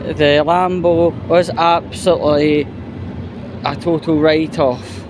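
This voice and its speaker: A man's voice: fairly high pitch, level tone, slow pace, noisy background. A man giving an opinionated, exasperated, sweary commentary in a casual vlog style. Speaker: YouTuber.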